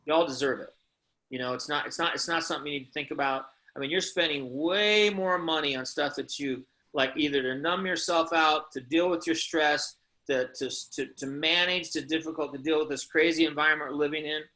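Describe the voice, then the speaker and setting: A man hosting a conference call, giving an emphatic, earnest and preachy motivational sales pitch and putting questions to his listeners. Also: brisk, bright, forceful tone.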